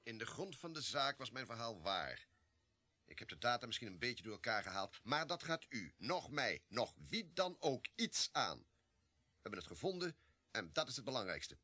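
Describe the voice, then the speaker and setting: Imperious, sharp-voiced army colonel. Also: male voice, mid-range voice, sing-song, normal speed, no room sound. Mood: defensive, haughty. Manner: formal, commanding, clipped. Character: imperious, evasive male army colonel, coolly polite. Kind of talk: Dutch radio drama acting.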